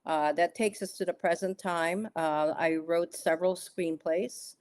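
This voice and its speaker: A middle-aged woman from the Philippines, a guest author recounting her past in a podcast interview, with measured pauses and frequent "uh" and "um.". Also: lowish voice, steady tone, a little mellow.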